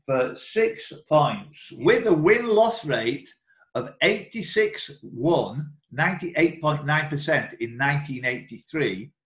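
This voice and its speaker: A man's voice: fairly high pitch, animated, slow pace, fairly bright tone. A man hosting a live quiz, reading out questions in an indoor room on an online group call. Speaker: quiz host and question reader. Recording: roomy, echoing online call audio.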